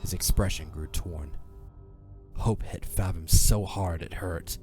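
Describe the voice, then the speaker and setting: A man giving a dramatic scripted narration in a solemn, measured way. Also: sits low, dark tone, faint music behind.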